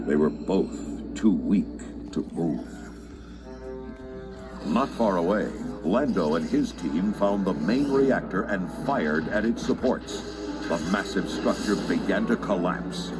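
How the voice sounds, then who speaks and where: Guy narrating an adventure storybook, lowish voice, scripted n dramatic, loud music behind. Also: lively intonation, takes it slowly.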